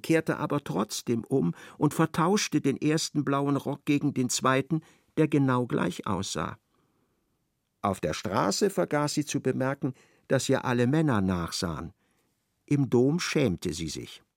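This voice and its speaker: A man's voice: mid-range voice, very animated, a little slow, gravelly, no room sound, studio quality, heavily acted. A man narrating a literary radio drama. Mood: measured.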